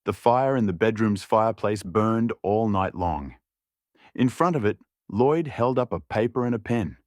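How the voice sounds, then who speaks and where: A man's voice: fairly low pitch, expressive, unhurried, a little mellow, dry recording, studio quality. A man reading a scripted story as an audiobook narrator.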